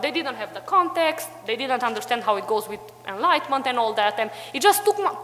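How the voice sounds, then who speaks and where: A woman's voice: a little high, fairly bright tone, big-room sound. Chatty woman speaking at a conference. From Romania, Transylvania. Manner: chatty, many fillers like "like" and "you know". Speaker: conference panelist, history teacher. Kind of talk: conference talk. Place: hotel conference room.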